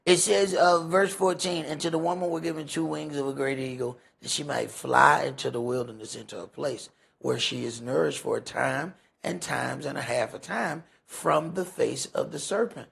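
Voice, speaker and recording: A man preaches in a very raspy, deeply creaky voice. His pitch sits rather high for a man. He talks in a folksy, conversational way, leaning hard on key points and putting questions to his listeners. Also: normal speed, hardly any pauses, fairly bright tone. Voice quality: gravelly, husky. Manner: emphatic, rhetorical questions, folksy phrasing. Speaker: preacher leading a Bible study. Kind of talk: conversational sermon with scripture commentary.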